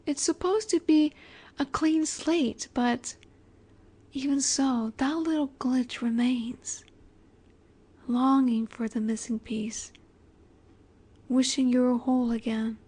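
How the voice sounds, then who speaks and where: Soft, slow-speaking vampire seductress, acted by a woman. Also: high voice, fairly even intonation, full character voice. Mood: hushed, wistful. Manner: soft, breathy, measured, often dropping to a whisper. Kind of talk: intimate scripted audio roleplay.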